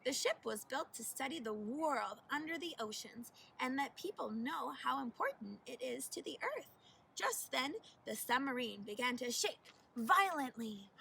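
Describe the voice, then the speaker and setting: A woman narrates a children's picture book in a high, bright voice with animated, deliberate delivery. She is a little far from the mic, with faint noise behind her. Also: vocal fry. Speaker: teacher reading aloud. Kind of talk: expressive children's storybook narration.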